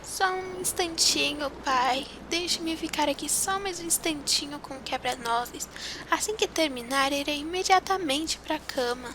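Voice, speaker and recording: A woman's voice: sits high, measured pace, background noise. A woman playing a sweet, timid young girl in an audio drama, speaking in an earnest, pleading way.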